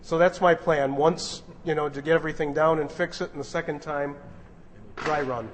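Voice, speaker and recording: A man's voice: on the high side, steady tone, normal speed. A man casually giving announcements and joking as he leads a rehearsal, recorded in a noisy, echoey room. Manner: informal, joking. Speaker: director leading a radio play rehearsal.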